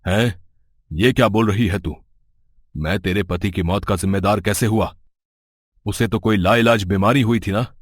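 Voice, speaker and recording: A man's voice: fairly low pitch, moderate pace, pauses often, no echo, studio quality, natural voice. A man playing a middle-aged village headman in an audio story, stern, suspicious and authoritative. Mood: indignant. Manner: scolding, authoritative. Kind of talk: dramatic story dialogue.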